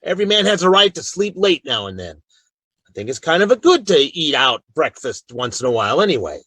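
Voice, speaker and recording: A man's voice: on the high side, sing-song, a little fast, sharp tone, dry recording, full character voice. A man playing a firm, loyal Old West marshal in a radio western, speaking in a frank, folksy, commanding way. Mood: kindly. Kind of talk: old-time western radio drama acting.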